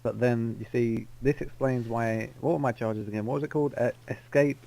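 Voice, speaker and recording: A man's voice: medium pitch, fairly even intonation, a little fast, vocal fry, mellow, rough recording. Man rambling through an in-character story. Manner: rambling, self-correcting storyteller. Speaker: roleplay player. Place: online game voice chat.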